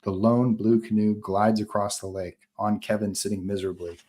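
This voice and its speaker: Man, low pitch, screenplay narrator, table read, short clipped phrases, scene directions. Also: flat delivery, moderate pace, warm tone. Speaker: narrator reading stage directions.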